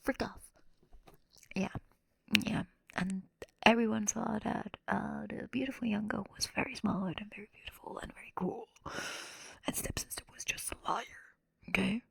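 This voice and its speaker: A woman whispers a bedtime story as a quirky anime girl in an ASMR roleplay. Her voice is breathy with touches of vocal fry, recorded very close and dry. She rambles casually, as if making it up as she goes. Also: mid-range voice, fairly even intonation, moderate pace, no echo. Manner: rambling, improvised teen-slang delivery. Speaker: ASMR roleplay performer and streamer.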